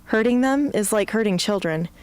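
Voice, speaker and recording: A woman's voice: mid-range voice, animated, moderate pace, smooth, close to an everyday voice, poor audio. A woman playing a defiant, guarded young rebel hunter in an amateur radio drama, speaking in a blunt, challenging way. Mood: defensive. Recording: faint hum and background noise.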